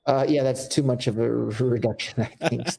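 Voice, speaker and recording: A man's voice: medium pitch, fairly even intonation, moderate pace, vocal fry, warm tone, breathy edge. A man with an American accent, talking candidly as an interview guest, rambling a little with fillers and restarts. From United States. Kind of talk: casual interview talk.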